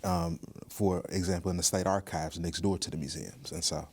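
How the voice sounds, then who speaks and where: A man answering as an interview guest in a measured, explanatory way. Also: low-pitched, steady tone, a little mellow, breathy edge. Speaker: museum director, podcast guest. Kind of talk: conversational interview.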